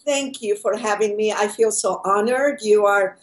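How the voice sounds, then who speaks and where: A woman's voice: medium pitch, normal speed, low-quality recording. Older woman from Chile, earnest storyteller. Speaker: guest. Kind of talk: conversational interview over video call. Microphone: video call.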